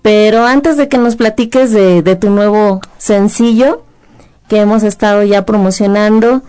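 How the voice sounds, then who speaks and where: Female radio interviewer, warm smooth tone, relaxed slow delivery. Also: mid-range voice.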